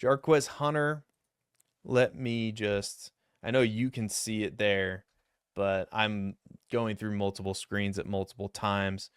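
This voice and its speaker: A young man with a low, deep voice that cracks upward now and then. He talks through draft picks in a casual, rambling way, full of self-deprecating asides. His voice sounds smooth and clear, recorded dry and close with no room echo. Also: steady tone, slow. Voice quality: deep voice that sometimes cracks high. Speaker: fantasy football YouTuber. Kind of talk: solo mock draft commentary.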